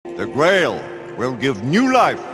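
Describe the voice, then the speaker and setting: An actor playing the solemn elder leader of a knightly order, speaking in grave, ceremonial oratory for a video game drama. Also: male voice, mid-range voice, wildly swooping pitch, slow pace, over music.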